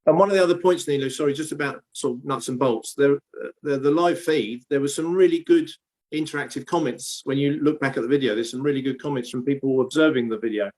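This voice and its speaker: A man's voice: mid-range voice, fast talker, darkish tone. A man leading a video call in an easygoing, rambling way. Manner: easygoing, laughs often, rambling.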